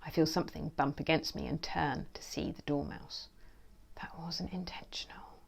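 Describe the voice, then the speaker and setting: Low, creaky-voiced woman reading a storybook. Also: flat delivery, normal speed, in a whisper. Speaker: children's author reading own book. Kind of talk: expressive storybook reading and presenting.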